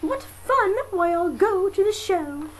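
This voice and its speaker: A woman's voice: very high-pitched, animated, slow pace, pitched above the performer's own voice, full character voice. A woman voicing a lazy, selfish, brazen female bird from a children's storybook, brash and exclaiming. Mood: breezy, surprised. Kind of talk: children's verse storybook read aloud. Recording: faintly noisy amateur home recording.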